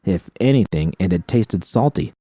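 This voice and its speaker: A man's voice: sits low, animated, moderate pace, warm tone, husky, dry recording, studio quality. A man reading first-person fiction as an audiobook narrator, with dramatic storytelling delivery.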